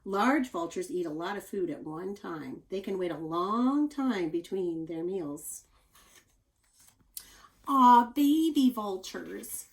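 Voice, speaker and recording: Expressive woman teacher reading aloud. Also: mid-range voice, slow. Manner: expressive, explanatory, with chatty asides to young listeners. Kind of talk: read-aloud of a children's nonfiction book with asides.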